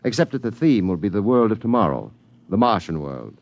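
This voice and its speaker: An actor playing a courteous, calmly confident Martian businessman, speaking formally and precisely in 1950s radio style. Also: fairly low pitch, brisk. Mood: assured. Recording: dull 1950s radio recording.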